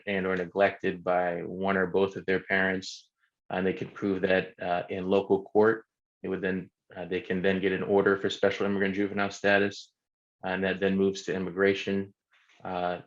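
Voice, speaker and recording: A man, an immigration attorney, explaining legal procedures on a panel in a measured way with many fillers. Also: deep voice. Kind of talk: panel discussion. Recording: dull lo-fi sound.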